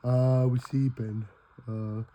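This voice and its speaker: A man's voice: mid-range voice, little pitch movement, takes it slowly, dark tone, slight background noise, lightly acted. A man voicing a sleepy, muddled wombat who stumbles hesitantly through stories in an improvised puppet show. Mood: drowsy. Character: drowsy, bumbling wombat puppet, a muddled storyteller.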